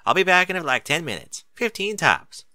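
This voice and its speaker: Blunt, protective farm pony mare acted by a man, folksy and forceful, animated storybook delivery. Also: sits high, normal speed, no echo, clean studio sound, voice pushed up, exaggerated voice. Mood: bossy, firm. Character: bossy, down-to-earth country farm pony mare, protective elder sister.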